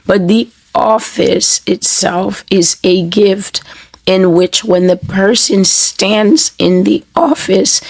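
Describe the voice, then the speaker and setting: A woman minister with a hoarse voice she says she has lost, speaking a sermon to camera in slow, measured, repetitive phrases. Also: mid-range voice, no echo, rough recording. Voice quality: raspy, breathy and gravelly. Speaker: prophetic minister. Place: New York City.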